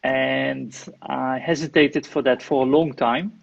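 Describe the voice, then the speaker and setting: A man's voice: mid-range voice, fairly even intonation, slow pace, gravelly, dull audio. A Dutch man with a Dutch accent, speaking English as a guest in a live online interview, hesitant with many fillers and restarts, over a video call. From Netherlands. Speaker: guest, IT manager and property investor.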